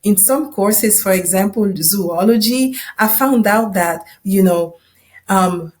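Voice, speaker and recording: A woman's voice: medium pitch, slow, a little mellow. A woman, an English speaker, telling a personal story to listeners as a podcast host in a conversational way, often checking in as she goes. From Democratic Republic of the Congo. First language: not English. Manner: conversational, checking in with "right?" and "you know". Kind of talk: personal testimony told to listeners.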